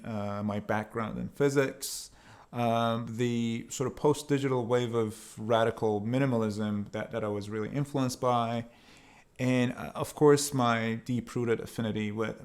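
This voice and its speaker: A man from Iran giving an explanatory talk as a sound artist, in measured, hesitant phrases with pauses. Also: medium pitch. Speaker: sound artist presenting own work.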